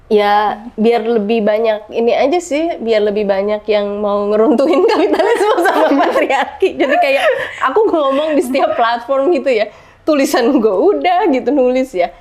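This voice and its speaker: A woman's voice: sits high, lively intonation, moderate pace, darkish tone. A middle-aged woman talking frankly in a casual podcast chat, using slang and repeating words for emphasis. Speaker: podcast guest.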